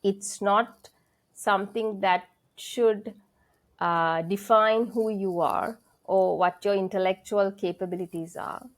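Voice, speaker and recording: A woman's voice: mid-range voice, steady tone, slow. A middle-aged woman from Sri Lanka with a Sri Lankan accent, a senior English lecturer being interviewed, talking earnestly and thinking aloud. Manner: earnest, thinking aloud with frequent fillers and restarts. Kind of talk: conversational interview.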